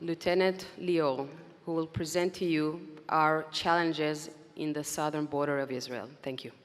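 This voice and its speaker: A woman giving a measured, formal address and introducing a colleague at a conference. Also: low voice, steady tone. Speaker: event speaker introducing a colleague. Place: large conference hall. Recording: hall reverb, crowd and noise.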